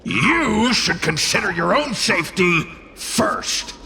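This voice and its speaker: Gravelly, surly trapped spirit. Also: male voice, sits high, sing-song, takes it slowly, pushed and bright, slight background noise, full character voice. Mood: scornful. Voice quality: gravelly, growling. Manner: grumbling, threatening. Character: surly, scheming sealed spirit, menacing and scornful. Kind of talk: anime-style video game voice acting.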